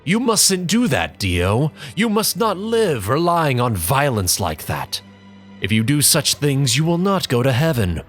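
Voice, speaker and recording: A man voicing a gentle, devout mother who softly and slowly admonishes her young son. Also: mid-range voice, sing-song, faint music behind, pitched above the performer's own voice. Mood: gentle scolding.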